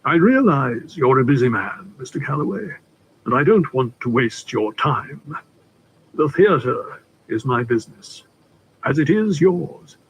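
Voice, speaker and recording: A man voicing a cultured, persuasive older theatre trustee who speaks slowly and theatrically, with a funereal edge. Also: medium pitch, animated, poor audio. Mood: courteous. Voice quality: smooth, cultured, unhurried. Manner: measured and theatrical, with drawn-out words.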